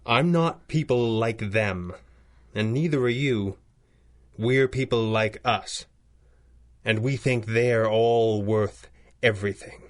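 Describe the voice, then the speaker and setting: An actor playing a masked 1930s crime-fighting hero in a radio adventure, articulate and formal with a dry wit. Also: male voice, lowish voice, slow, pauses often. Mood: earnest, measured. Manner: articulate, formal, theatrical delivery.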